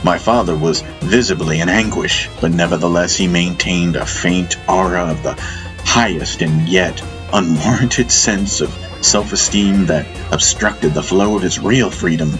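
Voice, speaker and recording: Male audiobook narrator, very low register. Solemn, dramatic fantasy storytelling over a clear music bed. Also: unhurried.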